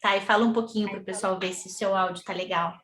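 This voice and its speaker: A woman's voice: mid-range voice, steady tone, fast talker, a bit bright, a little hiss or hum. Woman host, casual chat on a video call, roomy echo, lots of fillers and little checks with her guest. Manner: chatty, many fillers and tag questions.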